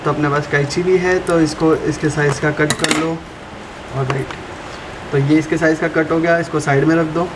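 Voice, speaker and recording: A young man chatting casually through a step-by-step how-to for his vlog, recorded indoors at a desk on a camera mic. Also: on the high side. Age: young adult. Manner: chatty, explains step by step to viewers. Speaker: motovlogger. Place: small room indoors at a desk. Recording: roomy with clear background noise.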